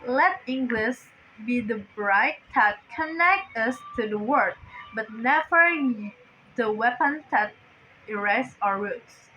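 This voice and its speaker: High-voiced Indonesian teen girl giving a speech. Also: expressive, sharp tone, highs cut off, slight background noise. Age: teens. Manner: earnest, deliberate, speech-contest delivery. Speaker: student speaker. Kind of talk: prepared persuasive speech.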